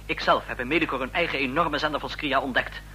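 An actor playing a deferential, fussy, nervous presidential aide in a radio drama, formal and prone to stammer. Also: male voice, medium pitch, fast talker, bright, forceful tone, a little hiss or hum. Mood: earnest, reporting.

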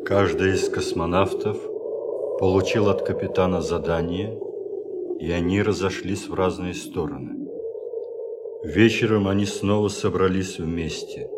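Deep-voiced male radio drama narrator. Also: steady tone, a little slow, heavy background noise. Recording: loud ambient sound effects behind narration.

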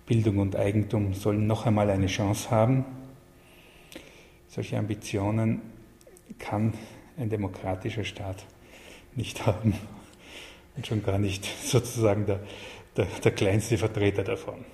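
A man giving reflective interview answers in halting, deliberate phrases. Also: fairly low pitch, little pitch movement, dark tone. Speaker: interviewed official and literary advisor. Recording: echoey hall, faint background noise.

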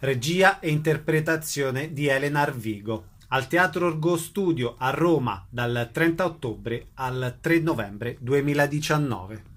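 A man's voice: mid-range voice, measured pace, hardly any pauses, fairly bright tone. A man reading a scripted radio announcement. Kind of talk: scripted announcement of theatre listings.

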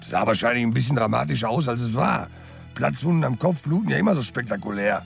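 A street-tough, drily amused sidekick in a radio crime play. His voice is heavily creaky and moves animatedly in pitch. He mocks in loose everyday speech over faint music. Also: animated, fast talker. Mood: grim, urging. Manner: colloquial, mocking. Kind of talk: German radio crime drama acting.

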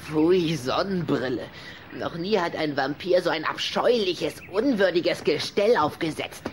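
A man's voice: on the high side, lively intonation, a little hiss or hum. An actor playing a grumpy, suspicious boy vampire in a children's audio drama, grumbling with disdain. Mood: disgusted, impatient. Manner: grumbling, disdainful, halting when unsure.

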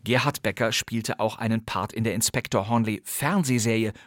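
A man's voice: fairly low pitch, moderate pace, fairly bright tone, a little hiss or hum. A man hosting a podcast, delivering scripted narration with conversational asides and dry humour. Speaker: podcast host. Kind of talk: scripted narration about old radio crime plays.

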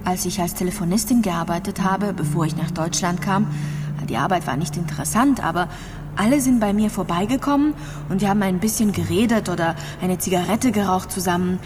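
An actress playing a gravely ill, resigned immigrant woman in a radio drama, speaking simply and dreamily. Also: lowish voice, fairly quick, heavy background noise, natural voice. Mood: nostalgic, bleak. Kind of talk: radio drama dialogue and monologue.

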